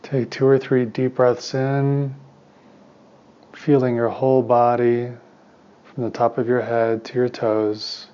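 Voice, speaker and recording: A man leading a guided meditation as a teacher, speaking in a calm, unhurried, soothing way with long pauses. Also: mid-range voice, clean tone, lo-fi sound.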